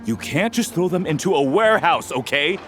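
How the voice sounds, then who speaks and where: A man's voice: on the high side, expressive, brisk, fairly bright tone. A man playing a fussy, earnest young relic seller in a video game. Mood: indignant. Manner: indignant, hesitant when challenged. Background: music.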